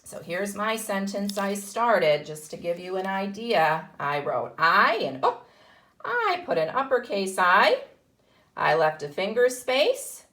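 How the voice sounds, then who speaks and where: A woman teaches kindergarten children in an unhurried way, with a voice that rises and falls in a very animated range. She explains things in simple steps and encourages her young listeners, often putting questions to them. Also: medium pitch. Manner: encouraging, asks questions and answers them herself. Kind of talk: instructional talk to young children.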